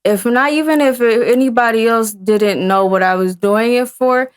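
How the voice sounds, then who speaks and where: A woman in her thirties, a guest singer in a casual interview, talking in halting phrases with frequent restarts. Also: a little high, clean tone. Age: 30s.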